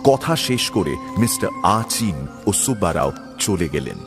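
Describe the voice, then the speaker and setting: Low-pitched male story narrator. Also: very animated, normal speed, music in the background. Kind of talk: scripted audio story narration.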